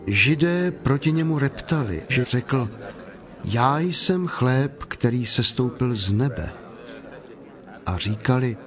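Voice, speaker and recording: A man's voice: mid-range voice, lively intonation. A man narrating scripture from a script as part of a dramatized reading. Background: faint background music.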